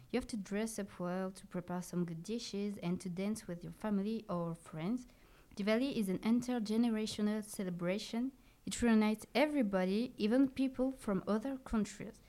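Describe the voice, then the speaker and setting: Woman with a warm, mid voice. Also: mid-range voice. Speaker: podcast guest. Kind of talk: scripted podcast answers.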